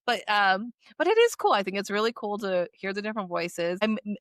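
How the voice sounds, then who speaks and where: A woman's voice: mid-range voice, very animated, a little fast, a bit bright, no echo, studio quality. A woman co-hosting a podcast, talking casually and conversationally. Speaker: podcast co-host.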